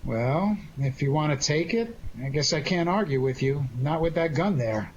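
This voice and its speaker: A man's voice: a little high, lively intonation, normal speed, close to an everyday voice. A man playing an unflappable, sardonic amateur sleuth who trades slangy wisecracks in a 1940s-style radio detective drama. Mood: coolly compliant. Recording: dull lo-fi audio with faint noise.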